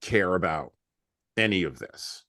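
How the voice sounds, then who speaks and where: A man's voice: deep voice, expressive, a little slow, bright, forceful tone, no room sound, studio quality. An American man hosting a conversational book discussion, emphatic, repeating phrases for effect and posing rhetorical questions. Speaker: podcast host.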